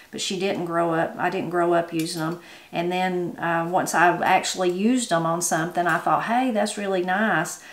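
A middle-aged woman with a Southern Appalachian accent, chatting casually and ramblingly as a home cook in her kitchen. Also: on the low side. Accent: Southern Appalachian American. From Appalachia, United States. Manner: homespun, rambling, conversational. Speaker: home cook and channel host. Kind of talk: casual unscripted kitchen talk. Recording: roomy, echoing kitchen sound.

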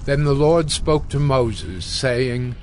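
A man narrating scripture from a script for an audio drama, measured and solemn. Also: mid-range voice, slight background noise. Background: music.

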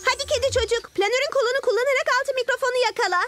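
A woman voicing a brisk, supportive six-year-old girl superhero in a children's cartoon. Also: very high voice, very echoey, recorded from a distance. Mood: urgent. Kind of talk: Turkish-dubbed children's cartoon acting. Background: music.

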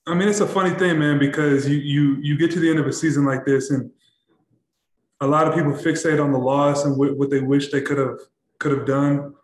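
Young athlete at a presser, slightly high voice, flat delivery, stumbles and repeats himself, echoey room. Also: male voice. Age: young adult. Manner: halting, repeats words, many fillers. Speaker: basketball player. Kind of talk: postgame press conference answers. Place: press room in front of a backdrop.

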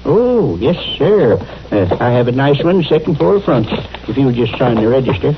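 A man's voice: medium pitch, big pitch swings, normal speed. A man playing a fussy, eager-to-please American hotel clerk in a radio western, talking in a flustered, rambling folksy way. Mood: eager, apologetic. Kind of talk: old-time radio acting. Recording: muffled old radio with hum.

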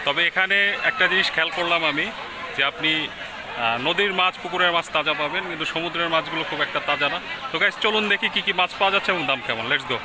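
A man's voice: on the high side, fast talker. A young man vlogging at an outdoor fish market, chatting with sellers and repeating their prices back in a friendly way. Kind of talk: casual market vlog, asking prices. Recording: loud crowded market noise. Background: crowd chatter and market noise.

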